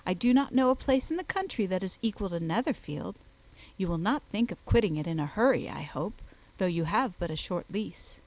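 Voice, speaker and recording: A woman's voice: medium pitch, lively intonation, brisk, darkish tone, natural voice, low-quality recording. Woman acting fussy, gushing middle-aged mother. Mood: gushing, flattering. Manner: effusive, emphatic.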